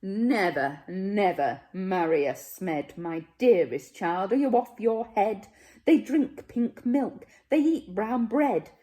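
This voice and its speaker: A woman voicing a bossy, indignant old alien grandmother in a storybook, scolding loudly and emphatically. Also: medium pitch, lively intonation, slow.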